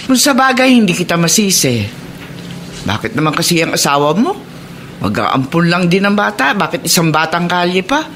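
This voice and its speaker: A woman's voice: fairly low pitch, big pitch swings, moderate pace, a bit bright, slight background noise. An actress playing a meddling, judgmental mother in a Filipino radio drama, disapproving and gossipy in manner.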